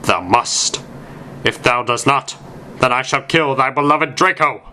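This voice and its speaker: Here is a man playing a cruel wizard villain from a storybook reading. He declaims in pompous, archaic phrases with a forceful, bright edge. There is faint noise behind the voice. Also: mid-range voice, a little slow, voice pushed up. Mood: menacing, commanding. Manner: shouting, pompous archaic speech.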